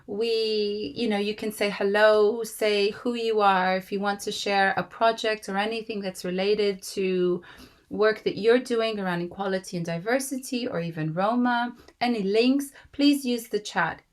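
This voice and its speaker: A woman in her thirties with a slightly raspy voice from a cold, warmly welcoming guests to an online meeting with many fillers. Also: medium pitch, fairly even intonation, a little slow. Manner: gracious, rambling with fillers. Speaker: host. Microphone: video call.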